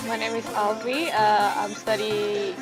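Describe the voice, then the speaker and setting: A woman's voice: mid-range voice, little pitch movement, a little slow, loud music behind the voice, rough recording. A young woman from Indonesia, a master's student, speaking hesitantly and thoughtfully. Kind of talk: interview.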